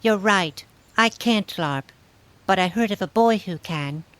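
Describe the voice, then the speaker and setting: A woman playing a young dark-haired girl in a scripted fantasy audio story. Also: medium pitch, expressive, unhurried, poor audio. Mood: scornful.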